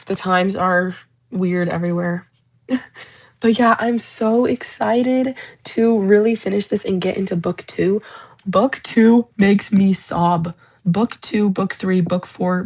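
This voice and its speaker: A woman's voice: medium pitch, fairly even intonation, a little slow, darkish tone. Young woman, livestream host; gushing, emotional, rambling chat. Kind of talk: casual livestream chat about a book series.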